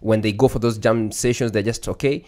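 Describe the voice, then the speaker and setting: Hesitant low-voiced man. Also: fairly even intonation, a little fast, darkish tone. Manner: hesitant, many uh and um fillers. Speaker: radio panelist. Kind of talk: casual radio talk.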